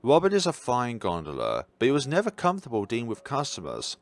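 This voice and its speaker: A man voicing a gentle, proud mother who reminisces wistfully about her son in a visual novel. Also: mid-range voice, wildly swooping pitch, quick pace. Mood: nostalgic.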